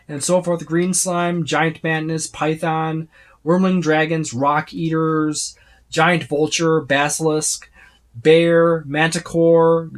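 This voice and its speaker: A tabletop game reviewer giving a casual, unscripted overview, speaking haltingly with repeated words and trailing lists. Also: medium pitch. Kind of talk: casual unscripted book flip-through overview.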